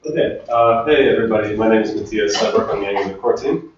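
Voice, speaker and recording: A man from Finland giving a relaxed technical talk to a meetup audience, chatting with the crowd. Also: lowish voice, fast, very noisy. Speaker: conference speaker. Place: large echoey meetup room. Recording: distant, roomy, dull room recording.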